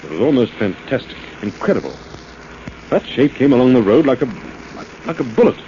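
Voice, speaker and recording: A man playing a composed, thoughtful gentleman sleuth in a 1940s radio drama. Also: mid-range voice, sing-song, fairly quick, lightly acted. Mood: grave. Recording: muffled, hissy old radio recording.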